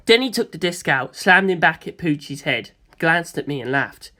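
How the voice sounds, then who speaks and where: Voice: mid-range voice, expressive, moderate pace, bright, forceful tone. A narrator reading a first-person story expressively, in the manner of an audiobook.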